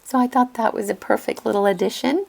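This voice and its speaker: A woman explaining a craft project step by step in a calm voice-over, her voice slightly hoarse and raspy from a lingering cough. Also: on the high side, fast. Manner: gentle step-by-step explaining, thinks aloud. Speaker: crafting tutorial host. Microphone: voice-over recorded apart from the video.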